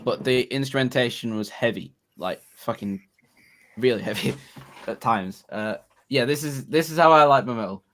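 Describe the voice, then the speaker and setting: A man's voice: mid-range voice, normal speed, fairly bright tone. A man co-hosting a music review podcast, chatting casually, swearing and rambling until he loses his train of thought. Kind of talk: casual chat.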